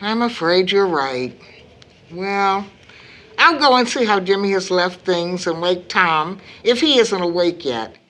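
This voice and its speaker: A woman playing a weary, loving mother of sixty in an audio drama, speaking gently and reflectively. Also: on the low side, expressive, unhurried. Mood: resigned.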